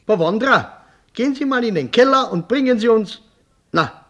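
A well-off older businessman in a radio drama. His husky voice swoops in lively fashion, and he is polite and eager, keen to prompt the other speaker. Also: extremely high pitch, normal speed, full character voice. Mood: eager, obliging. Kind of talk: German radio play acting.

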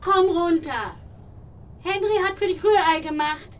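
A woman's voice: very high voice, measured pace. An actress playing a cheerful motherly woman who coaxes in a children's audio drama. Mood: cheerful urging.

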